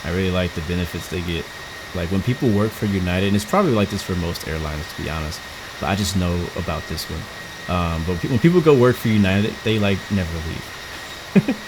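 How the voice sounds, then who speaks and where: A woman from Houston with an extremely low voice chats loosely to her stream, stumbling and restarting her sentences as she goes. A steady whir of noise sits clearly under her voice. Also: brisk. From Houston, Texas. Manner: rambling and chatty, frequent restarts and stumbles. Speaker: flight sim livestreamer. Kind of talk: casual live-stream chat while flying.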